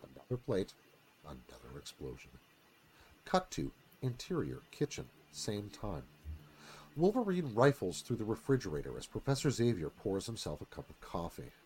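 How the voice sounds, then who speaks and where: A man's voice: fairly low pitch, huge pitch swings, normal speed, a little mellow, slight background noise. A man narrating a screenplay dramatically in a live radio theatre reading.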